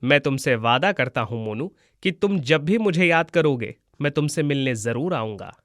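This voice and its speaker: A man voicing a gentle, wise young god disguised as a schoolboy, calm and reassuring, in a moral-story cartoon. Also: medium pitch, normal speed, dry recording, studio quality, voice pushed down, natural voice. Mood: tender.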